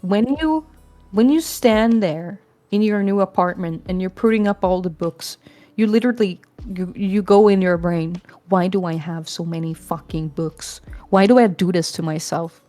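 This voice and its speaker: A woman's voice: lowish voice, normal speed, warm tone. Chatty young woman with Swedish accent. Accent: Swedish-accented English. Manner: chatty, frank, swears casually. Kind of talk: casual chat.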